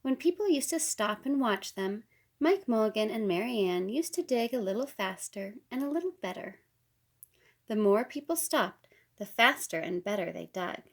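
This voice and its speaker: A woman reading a children's picture book aloud as a storyteller, unhurried and with deliberate pauses. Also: fairly high pitch, vocal fry. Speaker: storybook reader.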